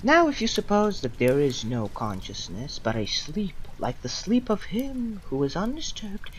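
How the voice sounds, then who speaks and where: A woman playing a condemned old philosopher, defiant and serene, delivering a solemn, deliberate theatrical monologue to judges with long pauses. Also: lowish voice, very animated, poor audio. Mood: calm, philosophical. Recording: amateur recording with loud background noise.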